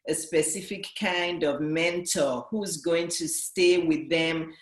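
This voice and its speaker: A woman's voice: low-pitched, slow, reverberant. A woman business coach with a Nigerian and British accent, giving an earnest motivational talk to camera and driving her points home by restating them.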